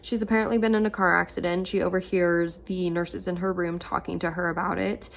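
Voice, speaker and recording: A woman in her twenties chatting casually at home about books, a little hoarse from losing her voice and filling her talk with "like". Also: fairly low pitch, level tone, fairly quick. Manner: chatty, lots of "like" fillers. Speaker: book vlogger. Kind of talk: casual reading vlog.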